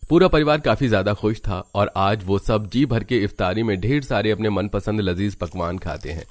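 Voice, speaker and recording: A man with a fairly low voice narrates a cartoon story. His delivery is scripted storytelling, telling events in the third person. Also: moderate pace, dry recording, clean studio sound.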